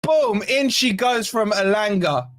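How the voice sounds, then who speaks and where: Hoarse male fan host, high-pitched, excitable partisan live commentary, dry close mic. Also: measured pace, no echo. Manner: excitable, swearing, partisan play-by-play. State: hoarse, losing his voice. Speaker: fan channel watch-along host.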